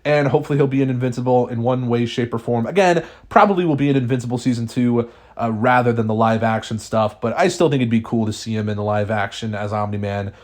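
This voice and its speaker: A young man hosting a casual video, talking off the cuff with fillers and restarts, his pitch jumping up when he starts a new point. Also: fast, darkish tone. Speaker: YouTube host. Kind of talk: casual speculation vlog.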